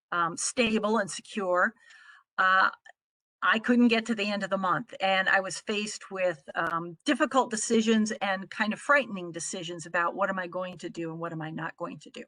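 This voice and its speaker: A middle-aged American woman from the Midwest giving an explanatory presentation as a trainer, speaking in a measured, articulate way. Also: mid-range voice, bright, forceful tone, dry recording. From Midwestern United States. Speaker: trainer presenting on a conference call.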